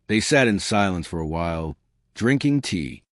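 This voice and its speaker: Lively low-voiced male storyteller. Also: moderate pace, no echo, clean studio sound. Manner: lively storytelling, shifting pitch for quoted characters. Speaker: narrator. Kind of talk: scripted story narration.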